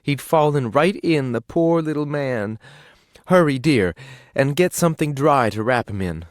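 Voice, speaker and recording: A man voicing a bossy, fussy cave housewife who scolds her husband in a raised, shrill voice. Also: animated, no room sound, studio quality, close to an everyday voice. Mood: anxious. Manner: scolding, shrill, fast-changing fuss.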